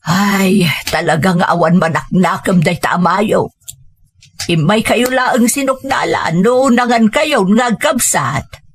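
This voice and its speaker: A woman's voice: mid-range voice, slow pace, slightly rough. A woman playing a scolding, worried grandmother in a village family audio drama, speaking with an Ilocano accent in a nagging, reproachful way. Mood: exasperated concern. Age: older.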